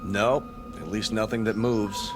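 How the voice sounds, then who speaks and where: A man's voice: on the low side, steady tone, a little slow, music in the background, close to an everyday voice. An actor playing a pedantic, coolly analytical space crewman in an audio drama, with dry, precise delivery.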